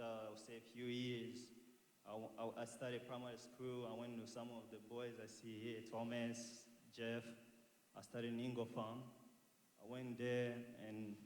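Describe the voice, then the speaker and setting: A young man gives an informal talk to an audience, nervous and hesitant, often repeating words. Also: mid-range voice. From Congo, raised in Tanzania and later South Australia. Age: young adult. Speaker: guest speaker at a church youth expo. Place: large echoing indoor hall. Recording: echoey hall, some background noise.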